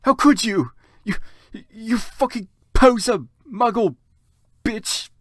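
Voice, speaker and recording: A man's voice: high voice, slow, dry recording, clean studio sound, voice pushed up. A man voicing a moody, histrionic teenage goth vampire boy in a comic story reading. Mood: hurt fury.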